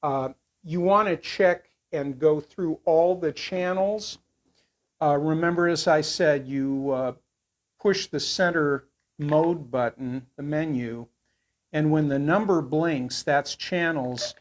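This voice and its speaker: Older man, slow and rambling. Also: a little high. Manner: rambling, halting delivery. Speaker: product reviewer. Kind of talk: casual product review.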